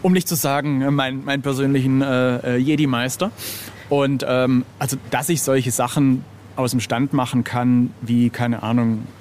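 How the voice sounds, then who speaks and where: A man's voice: mid-range voice, fairly even intonation, normal speed, a little mellow. A middle-aged man being interviewed as an illustrator, telling anecdotes in a casual, informal and self-deprecating way. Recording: clearly noisy background ambience.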